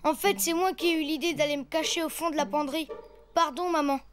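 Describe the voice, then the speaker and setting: A woman's voice: sits high, steady tone, fast talker, natural voice. A woman voicing a polite, eager young boy in a children's cartoon, with an earnest, chirpy way of talking. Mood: earnest, apologetic. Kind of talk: animated children's cartoon dub. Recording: music behind the voice.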